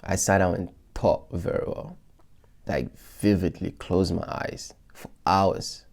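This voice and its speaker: A man's voice: low voice, fairly even intonation, takes it slowly, vocal fry, darkish tone. A man vlogging to his followers in a casual, reflective way, talking through his goals with loose restarts and many fillers. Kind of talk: casual motivational talk to followers.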